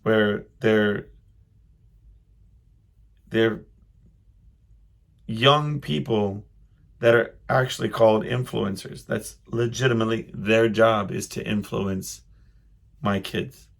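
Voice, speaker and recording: Creaky-voiced middle-aged man, rambling thoughtfully. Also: on the low side, fairly even intonation. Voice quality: loud voice. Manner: rambling, halting, thinking aloud. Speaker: podcast host. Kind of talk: casual solo monologue, personal reflection.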